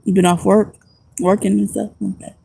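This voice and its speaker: A woman's voice: medium pitch, little pitch movement, moderate pace, creaky, dark tone, breathy edge, dry recording, poor audio. A woman who is a homeless vlogger and music producer, chatting casually and rambling in slang on a phone livestream outdoors at night.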